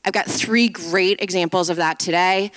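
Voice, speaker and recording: A woman's voice: on the low side, steady tone, moderate pace, fairly bright tone, clean tone. A woman moderating a conference panel on stage, speaking fluently and upbeat as she frames the discussion. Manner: fluent, upbeat, framing remarks.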